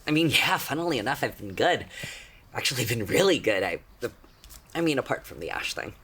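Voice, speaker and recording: A woman's voice: very deep voice, big pitch swings, moderate pace, a bit bright, a little hiss or hum, exaggerated voice. A woman playing a casual, teasing and menacing old friend turned fire avatar in a conversational audio drama. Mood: wry, casual.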